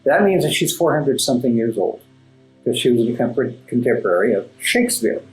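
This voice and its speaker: A man speaking as an interview guest, explaining and telling stories in a thoughtful, halting way with emphatic asides. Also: medium pitch, sing-song. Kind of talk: conversational storytelling and explaining in an interview. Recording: roomy echo, music underneath. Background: classical background music.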